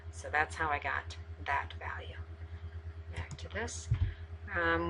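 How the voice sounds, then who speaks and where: A woman with a low-pitched, bright and forceful voice teaches as in a screencast lesson. She explains methodically, one step after another, speaking straight to students. There is faint noise in the recording. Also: rough recording. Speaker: math teacher. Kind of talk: instructional screencast walkthrough.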